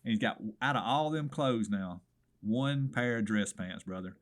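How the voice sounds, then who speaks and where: A middle-aged man with a Southern American accent, hoarse, hosting a comedic radio swap shop with folksy wisecracks. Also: medium pitch, animated, moderate pace. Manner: folksy and wisecracking, keeps saying "brother".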